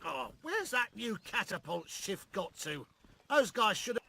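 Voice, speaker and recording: Casting: blustering common foot soldier, comic fantasy game, high gravelly voice, ungrammatical and folksy. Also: male voice, very animated, measured pace, bright, forceful tone, heavily acted. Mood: grumbling. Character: gruff, blustering rank-and-file Roman-style soldier. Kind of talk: comic video game character acting. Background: music.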